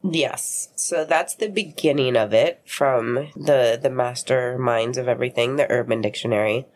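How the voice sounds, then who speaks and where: A woman's voice: rumbling low, measured pace, a little mellow. Outspoken woman co-host, faint hiss. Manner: blunt, outspoken. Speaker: podcast co-host. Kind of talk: casual chat.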